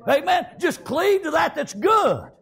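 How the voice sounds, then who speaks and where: A man's voice: very high voice, sing-song, measured pace, vocal fry, a bit bright. A man with a Southern American accent preaching a fervent revivalist sermon in a folksy way, peppered with exclamations of praise. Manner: folksy, colloquial.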